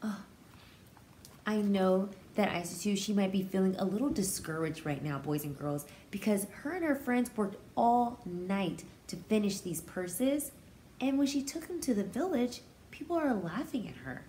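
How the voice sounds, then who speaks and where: Expressive woman reading aloud to young children. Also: medium pitch, moderate pace, a little hiss or hum. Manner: expressive, explains words and feelings simply to young children. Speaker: pre-K teacher reading aloud. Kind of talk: children's picture-book read-aloud with explanatory asides.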